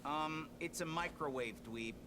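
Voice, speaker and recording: Man voicing a high, sarcastic tough teen turtle. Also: a little slow, background noise, natural voice. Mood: impatient. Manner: slangy, tough-talking, sarcastic. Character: gruff, sarcastic, hot-headed teenage mutant turtle brawler.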